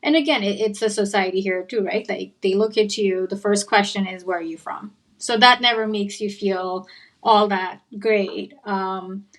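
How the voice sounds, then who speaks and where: Woman from Nepal, panel guest, mid-pitched voice, thoughtful and searching. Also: steady tone, moderate pace. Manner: reflective, searching for words as she thinks aloud. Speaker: podcast panelist. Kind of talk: casual panel discussion.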